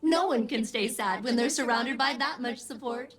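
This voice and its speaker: A woman voicing a chirpy, fiercely loyal computer intelligence in a video game, with a theatrical, slightly husky voice. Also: on the high side, moderate pace, close to an everyday voice. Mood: upbeat, protective. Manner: bright, theatrical, swooping. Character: chirpy, loyal female ship AI, fiercely protective.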